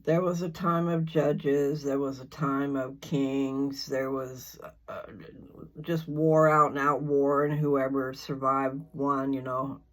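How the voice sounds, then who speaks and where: An older speaker chatting casually and informally in a folksy, meandering way, often halting to search for words. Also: medium pitch, little pitch movement, fairly bright tone, poor audio. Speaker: host of a scripture reading channel. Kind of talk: rambling casual chat before a reading.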